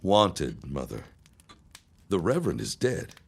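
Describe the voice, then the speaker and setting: A scheming young son, acted by a man in an audio drama. His voice sits low but moves freely up and down, with formal phrasing and a wry, arch edge. He is dry and smooth in his manner. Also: very animated, takes it slowly, heavily acted. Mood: exasperated, sardonic. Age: young adult.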